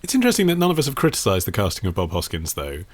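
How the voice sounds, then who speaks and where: A man's voice: low voice, wildly swooping pitch, racing. A man co-hosting a podcast in a casual, wry style, fond of rhetorical questions and sarcasm. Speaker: podcast co-host. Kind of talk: casual comedic discussion.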